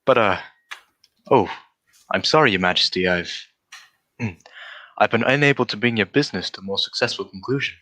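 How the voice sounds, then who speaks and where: A courteous, cerebral gentleman detective of old radio drama, acted by a man. His voice is lowish yet bright and forceful, recorded dry, with formal, restrained phrasing. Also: brisk, pauses often, no room sound. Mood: admiring, regretful. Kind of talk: adaptation of a 1940s radio drama.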